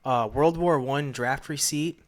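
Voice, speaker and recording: A man's voice: medium pitch, measured pace. Laid-back man chatting on a podcast. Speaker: podcast co-host. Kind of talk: casual chat. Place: indoor room shared with co-host.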